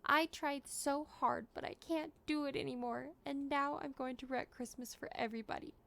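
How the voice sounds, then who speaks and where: A woman's voice: high voice, steady tone, normal speed, vocal fry, a bit bright, pitched above the performer's own voice. A woman voicing a tearful, earnest little boy in a storybook, with a pleading, choked delivery. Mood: upset, pleading.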